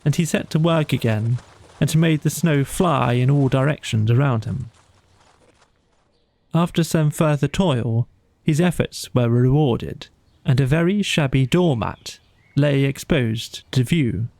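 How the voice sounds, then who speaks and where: A man's voice: medium pitch, measured pace, vocal fry, darkish tone, no room sound. A man reading a children's bedtime story as scripted audiobook narration.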